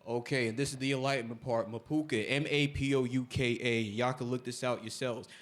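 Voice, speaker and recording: A man's voice: medium pitch, fairly even intonation, brisk. A man in his thirties from New Jersey asking a roundabout question from the audience of a community panel. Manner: roundabout, many fillers. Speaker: audience member.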